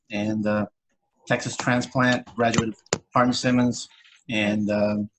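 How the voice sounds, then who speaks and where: Halting, mid-pitched man introducing himself. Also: noisy background. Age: late 30s to 40s. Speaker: amateur actor introducing himself. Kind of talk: casual self-introduction. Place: indoors, on a video call. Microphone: video call microphone.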